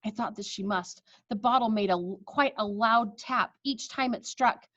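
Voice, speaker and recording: A woman reading a children's story aloud with expressive narration, on a slightly dull recording. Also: mid-range voice, moderate pace, no echo.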